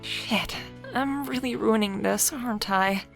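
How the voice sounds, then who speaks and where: A woman's voice: on the high side, measured pace, creaky, soft background music, voice pushed up. A woman voicing an anxious, self-loathing middle-aged woman with a hesitant, stammering delivery in a story. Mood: flustered.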